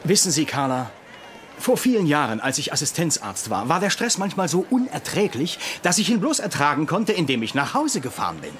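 A sarcastic ranting senior doctor, tv dub, highish voice all over the place, bit of noise. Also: male voice, very animated, a little fast, fairly bright tone. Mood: wry. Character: sarcastic senior male doctor, gruff but secretly caring. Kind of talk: German TV comedy-drama dubbing.

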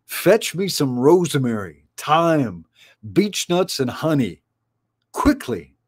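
A man voicing an enormously fat, jolly male mouse friar and cook who squeaks and chuckles excitedly. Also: takes it slowly, slightly rough, heavily acted.

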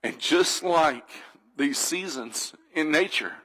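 Pastor guy preaching in church, bright clear voice, earnest and thoughtful, lots of repeating lines. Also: a little high, expressive, slow, low-quality recording. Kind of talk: sermon. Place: church sanctuary.

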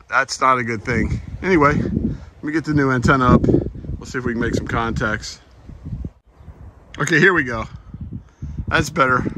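An older American man talking casually as he hosts an outdoor hobby vlog. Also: fairly high pitch. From United States. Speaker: amateur radio operator and video host. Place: outdoors in a backyard garden. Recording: outdoor recording with clear background noise.

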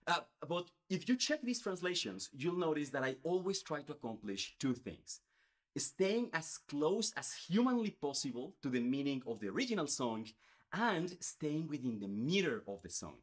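A man's voice: on the high side, moderate pace. A man in his thirties from Mexico, a Spanish speaker, earnestly explaining to the camera with lots of hand gestures. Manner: earnest, animated, gestures a lot with his hands. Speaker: YouTuber. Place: indoors, in front of a space backdrop.

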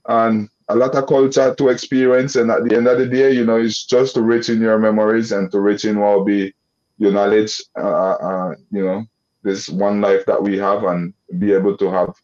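A man's voice: fairly low pitch, darkish tone. A young man from Nicaragua with a Creole English accent, talking loosely on a video call and restarting his sentences often. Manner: loose rambling with many restarts and "you know" fillers. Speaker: guest. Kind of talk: casual video-call interview. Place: indoor room. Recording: dull lo-fi video call audio.

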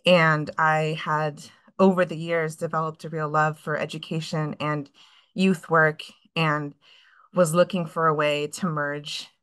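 A woman's voice: sits low, flat delivery, a little slow, fairly bright tone, smooth. A woman in her thirties from California, an obstetrician, introducing herself candidly over a video call from a clinic room. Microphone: computer webcam on video call.

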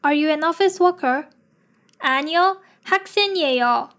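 A woman slowly reading lesson phrases and their translations with an even, spaced delivery. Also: high voice. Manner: slow, evenly spaced delivery. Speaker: lesson reader.

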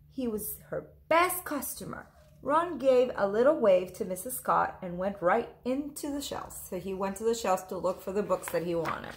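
A woman in her thirties reading a picture book aloud, expressive, deliberate and dramatic, like a teacher. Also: fairly high pitch. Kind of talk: expressive storybook read-aloud. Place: small room at home. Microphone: camera or phone at close range.

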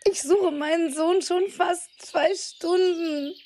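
A woman's voice: very high voice, fairly even intonation, slow, darkish tone. An actress playing a worried, pleading mother in a radio play. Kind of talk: hobby German radio play acting. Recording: faint noise with birdsong.

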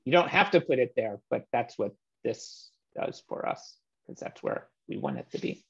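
A man in his thirties walking through code on a shared screen, relaxed and explanatory with frequent "um" fillers, heard over a video call. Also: medium pitch, moderate pace, gravelly, breathy edge. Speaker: meetup presenter. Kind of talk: screen-share coding walkthrough. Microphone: webcam or laptop mic on a video call. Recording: slightly dull video-call audio.

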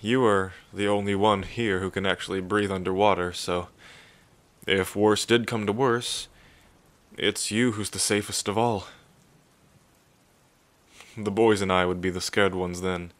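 A man playing a gentle, bashful pirate captain in a soothing, hesitant, soft-spoken ASMR roleplay. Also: low-pitched, fairly even intonation, a little slow, pauses often. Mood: reassuring.

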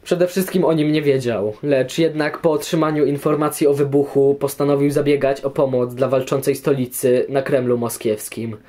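Medium-pitched man narrating scripted history. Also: fairly even intonation, normal speed, few pauses, warm tone. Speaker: narrator answering questions on history.

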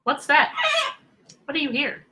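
Bright, high-voiced American woman teacher. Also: unhurried. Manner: animated, repeats phrases and questions to engage listeners. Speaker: teacher, children's read-aloud host. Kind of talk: chatty interactive livestream for children. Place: indoor room with bookshelves.

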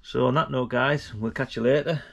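A man's voice: medium pitch, fairly even intonation, normal speed, gravelly, dull audio. A middle-aged man, chatting casually and informally as he hosts a vlog. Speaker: vlog host.

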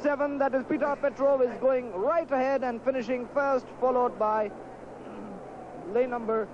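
Very high-pitched male race commentator, noisy. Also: fairly even intonation, normal speed. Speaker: athletics commentator. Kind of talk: excited live race commentary. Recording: dull noisy outdoor broadcast recording.

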